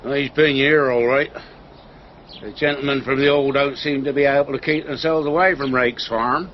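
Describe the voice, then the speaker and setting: An actor playing a gossipy country farm man with a rural English accent and a slow, insinuating way of talking in a radio drama. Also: medium pitch, steady tone, lo-fi sound, a little hiss or hum, close to an everyday voice. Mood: sly, knowing.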